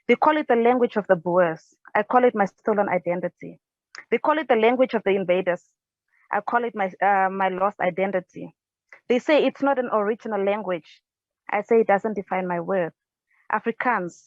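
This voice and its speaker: A young woman from South Africa reciting her own spoken word poem at home on a couch, earnest and halting, with restarts, into an earphone mic. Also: mid-range voice, no echo. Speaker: poet. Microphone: earphone headset mic. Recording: dry, dull lo-fi sound.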